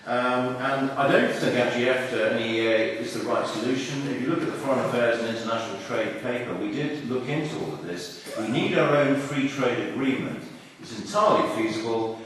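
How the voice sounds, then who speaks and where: A man of middle pitch makes his pitch as a candidate at a public hustings, filling his speech with ums and offhand asides. His voice is heard from across a large hall with a long, echoing reverb. There is a faint noise floor. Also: fairly quick, a bit bright. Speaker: party leadership candidate. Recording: echoey hall from far off.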